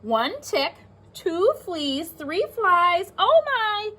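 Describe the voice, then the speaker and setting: Animated, slow-paced woman reading to young children. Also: extremely high pitch. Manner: expressive, sing-song, addresses young children, stresses rhyming words. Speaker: pre-K teacher reading aloud. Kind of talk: animated children's read-aloud with asides.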